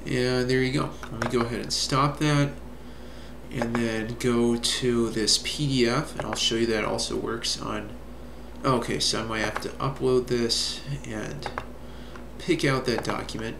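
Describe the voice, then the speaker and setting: A middle-aged man casually reviewing a browser add-on, with a relaxed manner and lots of fillers. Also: medium pitch, unhurried, creaky. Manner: relaxed, many fillers like "you know". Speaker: reviewer demonstrating software. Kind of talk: casual screen-recorded review. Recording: echoey room with faint noise.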